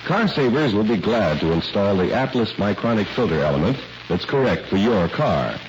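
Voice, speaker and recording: Male announcer, 1940s radio delivery, low and lively, polished and emphatic, on a noisy old recording. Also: normal speed, muffled recording.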